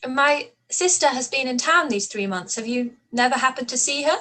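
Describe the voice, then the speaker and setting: A woman playing a witty, spirited young Regency gentlewoman in a radio play, arch and playful. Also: sits high, lively intonation, moderate pace, slight background noise. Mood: polite. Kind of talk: radio play live read.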